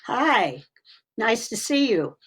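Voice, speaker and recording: A woman's voice: fairly high pitch, sing-song, slow, a bit bright, slightly rough. An older woman, sixties or above, a guest chatting casually in a cheerful, folksy way. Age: 60s or older. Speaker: interview guest, children's story reader and teacher. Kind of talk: casual chat.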